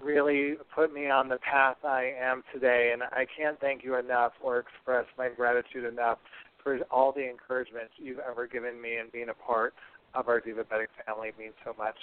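A man's voice: medium pitch, clean tone, phone-quality sound. A man hosting a podcast in a conversational, effusive and emphatic way. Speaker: podcast host.

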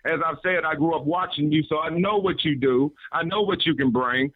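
Young guy, earnest wrestling promo, stumbles on words, phone-line tinny, bit high. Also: brisk, dry recording. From Memphis, Tennessee. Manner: earnest, stammering, restarts words. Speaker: professional wrestler. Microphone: phone call.

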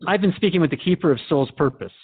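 Guy playing a radio interviewer, talks pretty quick, curious and polite, chatty radio drama style. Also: on the high side, animated, husky, narrow, tinny audio. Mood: gracious, wrapping up. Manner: inquisitive, conversational. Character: curious, respectful male radio show host interviewing a spirit. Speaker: radio host.

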